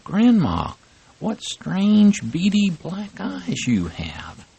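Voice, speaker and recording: A man's voice: sits high, wildly swooping pitch, extremely slow, gravelly, dark tone, breathy edge, pitched above the performer's own voice, heavily acted. A man voicing a small sweet young girl who speaks innocently and with wonder.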